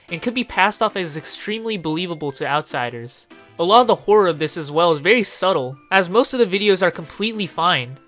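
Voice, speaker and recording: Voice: medium pitch, fairly bright tone. A narrator giving an informal, opinionated video essay commentary and swearing freely. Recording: music playing behind the voice. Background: background music.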